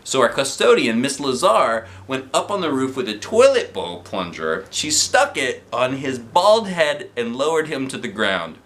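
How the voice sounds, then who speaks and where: A man's voice: mid-range voice, very animated, a little slow, hardly any pauses, fairly bright tone. A man voicing a nervous, wisecracking schoolboy in a children's storybook, with hammy stand-up delivery. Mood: animated, joking. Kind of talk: children's storybook read aloud.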